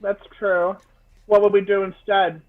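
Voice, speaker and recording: High-voiced giggly young man. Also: flat delivery, a little slow, narrow, tinny audio. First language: Scots. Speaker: livestream co-host. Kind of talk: casual group chat. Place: remote group video call.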